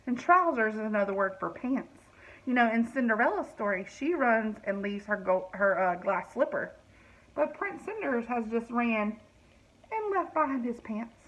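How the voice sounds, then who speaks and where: A woman reading a picture book aloud to children, pausing to explain the story and ask questions. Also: a little high, animated, a bit bright, lo-fi sound. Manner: explanatory, asks listeners questions.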